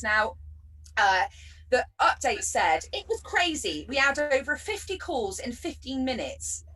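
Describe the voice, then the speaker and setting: Woman, West Country accent, stand-up comic, sarcastic, lively pitch, distant video-call mic. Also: slight background noise. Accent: West Country English. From Bristol, England. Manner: chatty, sarcastic, bursts of laughter. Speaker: stand-up comedian.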